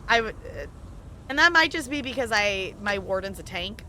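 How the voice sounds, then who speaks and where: A woman with a bright, forward voice at an average pitch talks casually while streaming a game. She rambles and hesitates, thinking aloud, with some noise behind her. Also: expressive, moderate pace.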